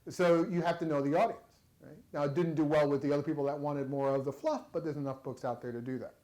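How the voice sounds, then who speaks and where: A man's voice: a little high, fairly even intonation, fast talker, darkish tone, poor audio. A man giving a conversational workshop talk to an audience, chatty and repeating words as he goes. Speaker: presenter.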